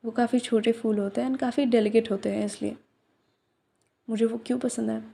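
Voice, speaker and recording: A woman in a soft-spoken roleplay, playing a young flower shop owner who teases gently and drops into breathy whispers. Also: on the high side, steady tone, a little fast. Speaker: voice actress in a listener roleplay. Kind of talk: ASMR roleplay, one side of a conversation.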